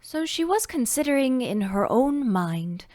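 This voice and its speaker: A woman reading a children's classic aloud as an audiobook narrator, unhurried and expressive, often dropping into a soft whisper. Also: high-pitched. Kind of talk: scripted storybook narration.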